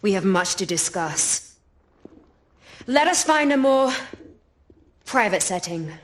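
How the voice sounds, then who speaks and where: An actress playing a commanding rebel queen from India in a video game, speaking in a measured, formal, authoritative way. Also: mid-range voice, sing-song. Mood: composed.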